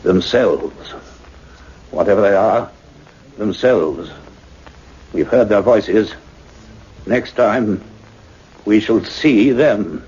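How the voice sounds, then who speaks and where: A man's voice: on the low side, extremely slow, long pauses, a little mellow. An actor playing a commanding, urgent male scientist in a sci-fi radio play, speaking in an imperious, declamatory way. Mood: grave, awed. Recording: muffled, noisy old radio drama recording.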